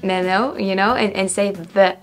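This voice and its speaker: A woman's voice: medium pitch, steady tone. Young french woman vlogging, french accent, repeats english words over and over trying to get them right, laughs a lot, bit of music behind. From France. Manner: thinks aloud, repeats words slowly to try pronunciations, laughs often. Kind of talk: casual reaction vlog.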